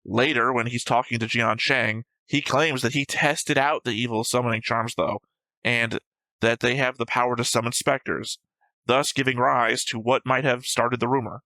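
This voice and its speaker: A man with a bright, forceful voice, recorded close and dry. He talks casually and argumentatively, picking a story apart point by point, with some swearing. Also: mid-range voice, fairly even intonation, moderate pace, dry recording, rough recording. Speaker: host of an anime reaction show. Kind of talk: casual discussion and analysis of an anime episode.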